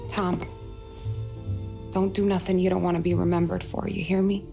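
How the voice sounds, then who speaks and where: An actress playing a sharp-tongued but caring working-class American woman, plain-spoken, in a video game drama. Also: lowish voice, flat delivery, normal speed, creaky. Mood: earnest, cautioning. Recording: music behind the voice. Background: background music.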